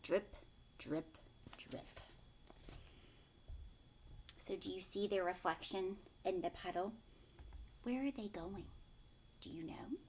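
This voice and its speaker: A woman reading a picture book aloud to young children as a teacher, expressively, drawing words out and dropping into hushed asides with questions for her listeners. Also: medium pitch, slow pace, long pauses, a little hiss or hum.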